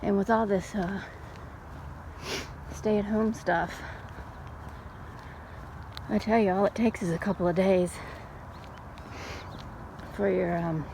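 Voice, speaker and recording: A middle-aged woman chatting casually in an unhurried, folksy way, like a mom telling stories while out on a walk. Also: medium pitch, gravelly. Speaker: storytelling vlogger and mother. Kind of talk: casual rambling chat. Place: outdoors, walking. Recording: steady background noise, outdoors on a walk.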